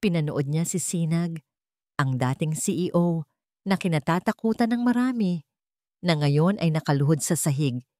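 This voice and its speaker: Low-voiced woman narrating a dramatic story. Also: darkish tone, no room sound, clean studio sound. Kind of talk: scripted dramatic story narration in Tagalog radio drama manner.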